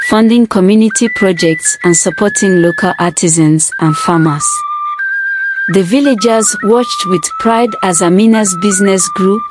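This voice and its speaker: A woman reading a scripted folktale as a storyteller, in a measured, formal read-aloud manner. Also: lowish voice. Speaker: storyteller, narrator. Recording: music playing behind the voice. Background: background music.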